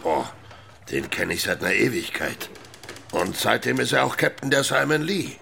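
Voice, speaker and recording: Gravelly small-time crook. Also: male voice, mid-range voice, very animated, normal speed, sharp tone, a little hiss or hum, full character voice. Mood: guarded. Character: shady small-time London crook on a houseboat. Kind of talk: radio crime drama dialogue.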